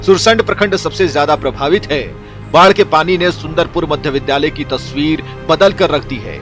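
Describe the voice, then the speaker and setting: A man's voice: on the high side, moderate pace, fairly bright tone, faint music behind. A man delivering scripted news narration as a voice-over reporter, formal in manner.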